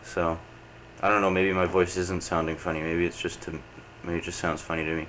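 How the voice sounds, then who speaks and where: A man's voice: deep voice, monotone, fairly quick, a bit bright. A man coming down with a cold, chatting casually and rambling to camera with lots of uh's, recorded on a camcorder mic in a room at home. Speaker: video letter host. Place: indoor home program room. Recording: noisy early-90s camcorder tape.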